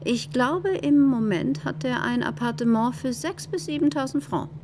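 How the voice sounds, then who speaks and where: An actress in a radio play playing a wealthy, skeptical, calculating wife with a dismissive, hesitant manner. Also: female voice, high voice, normal speed, a little mellow, a little hiss or hum, close to an everyday voice. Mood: skeptical, reluctant. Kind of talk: German radio play dialogue.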